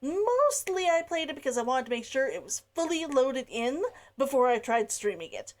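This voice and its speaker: Woman reading her story out loud, giggly, pitch jumps all over, kinda high voice. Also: very animated, normal speed. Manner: giggly, laughs between sentences. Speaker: read-along narrator. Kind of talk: casual intro and story reading.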